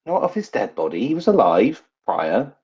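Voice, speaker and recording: A man's voice: medium pitch, wildly swooping pitch, moderate pace, darkish tone, breathy edge, heavily acted. A man voicing an evasive, wheedling knight in training who stammers and talks over-formally in a tabletop game. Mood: defensive. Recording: dull, slightly lo-fi recording.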